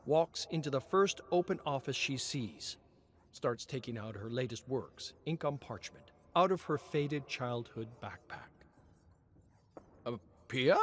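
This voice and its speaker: A man narrating a story in a measured, expressive reading. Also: mid-range voice. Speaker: lore narrator.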